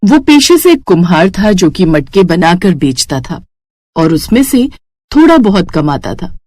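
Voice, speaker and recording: A woman's voice: fairly low pitch, big pitch swings, a little slow, darkish tone, dry recording. A woman storyteller reading a scripted moral story for children.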